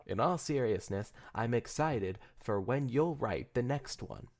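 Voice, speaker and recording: A candid adventurer-author mare, acted by a man speaks in a lively, varied voice. The delivery is articulate and composed, like a teasing critic in a dramatic story reading. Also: medium pitch, unhurried. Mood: approving. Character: composed, candid female pony adventure novelist, approving critic.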